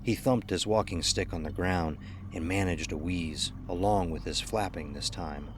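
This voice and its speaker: A man's voice: low-pitched, normal speed, noisy background. A man reading a scripted horror story as its narrator.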